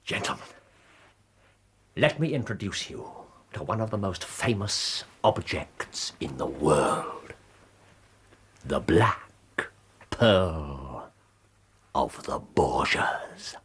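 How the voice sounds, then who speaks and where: A man's voice: rumbling low, very animated, takes it slowly, pauses often, fairly bright tone, slightly rough, heavily acted. An actor playing a brilliant, brooding English detective in a British radio drama, precise and theatrical in his speech. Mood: showmanlike.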